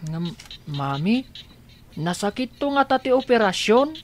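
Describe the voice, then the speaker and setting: A woman's voice: medium pitch, very animated, measured pace. A worried young boy in a radio play, childlike questions, bit of noise behind. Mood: anxious, hopeful. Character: blind young boy, anxious yet brave. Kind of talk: Ilocano radio drama acting.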